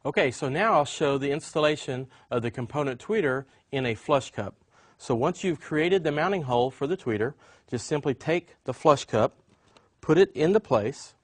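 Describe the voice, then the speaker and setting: A man's voice: a little high, expressive, normal speed, dry recording. A man presenting an instructional product demonstration, explaining things step by step as a demonstrator.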